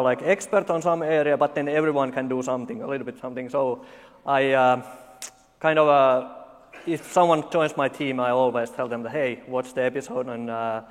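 A man from Finland in his forties with a Finnish accent, giving a conference talk full of dry, deadpan jokes and halting fillers. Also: mid-range voice. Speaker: conference speaker. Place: large conference hall. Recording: long hall reverb.

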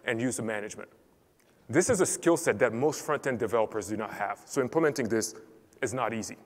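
Man from Cameroon, tech conference presenter, measured and explanatory, long hall reverb. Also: mid-range voice. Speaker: enterprise support lead presenting.

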